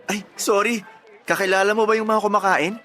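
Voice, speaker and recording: A man's voice: high voice, fairly even intonation, fairly quick, pushed and bright, soft background music. An actor playing a giddy, bashful young suitor in a radio drama, flustered and eager in his delivery. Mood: tender, devoted. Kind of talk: Tagalog radio drama acting.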